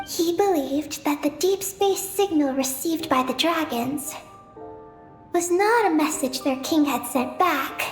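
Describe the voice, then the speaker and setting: A woman playing a calm, knowledgeable young guide in a video game, earnest and gentle, explaining things in a measured way. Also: high voice. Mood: thoughtful. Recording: roomy echo, faint music behind.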